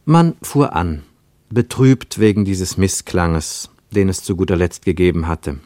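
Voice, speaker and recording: A man narrates a literary radio play in a fairly low voice. The delivery is measured and precise, shaped with a storyteller's expression. The sound is very dry, with no room around the words. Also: warm tone, no room sound.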